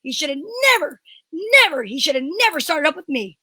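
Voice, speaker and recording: A woman's voice: high voice, very animated, a little fast, sharp tone, a little hiss or hum, heavily acted. A woman playing an eager, wisecracking amateur sleuth in an old-time radio mystery, with breezy, gushing old-fashioned slang. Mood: excited.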